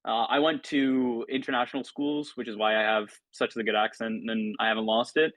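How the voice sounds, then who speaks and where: A man's voice: mid-range voice, little pitch movement, a little fast, fairly bright tone, no room sound, rough recording. A man who grew up in Italy, a podcast guest chatting in a loose, enthusiastic, rambling way. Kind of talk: casual podcast chat.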